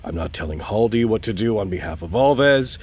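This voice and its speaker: A man's voice: on the low side, animated, moderate pace, a little mellow, husky. A man playing a stubborn, unimpressed holdout in an audio drama, speaking tersely and firmly. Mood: firm, guarded. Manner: terse, firm.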